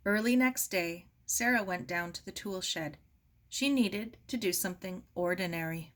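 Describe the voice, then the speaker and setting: A woman narrating a novel as an audiobook reader, expressive, deliberate and grave. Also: fairly low pitch. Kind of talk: dramatic scripted narration of a novel.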